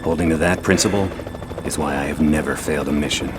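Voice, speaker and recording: An actor playing a stoic special forces soldier in a video game, speaking in a clipped, emotionless military manner. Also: male voice, extremely low pitch, fairly even intonation, fast, very noisy. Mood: cold, resolute. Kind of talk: dramatic video game cutscene acting. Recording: music behind the voice.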